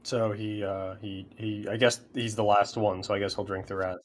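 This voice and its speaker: A man's voice: lowish voice, flat delivery, moderate pace. A man chatting casually as a player in a tabletop roleplay session. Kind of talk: casual game chat.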